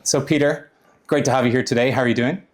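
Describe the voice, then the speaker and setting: A man's voice: mid-range voice, fairly even intonation, very fast. A man hosting a podcast, presenting and asking questions in a polite, encouraging manner. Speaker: podcast host, interviewer.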